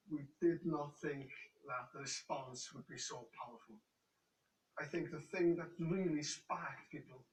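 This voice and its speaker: Mural painter guy, measured, bit hesitant, echoey room, far from mic. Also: on the high side. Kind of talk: documentary interview.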